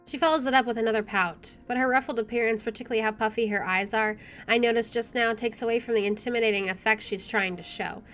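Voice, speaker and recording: A woman's voice: fairly high pitch, steady tone, fast talker, few pauses, a bit bright. A woman let's player reading a visual novel aloud expressively with chatty asides, game music playing behind her.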